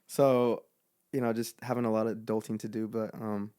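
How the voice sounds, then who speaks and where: A man's voice: lowish voice, level tone, a little fast, mellow, smooth, dry recording, studio quality. A man in his twenties, a guest musician, talking easily with lots of fillers and laughs. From United States. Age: 20s. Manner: easygoing, laughs a lot, filler-heavy. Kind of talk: casual chat.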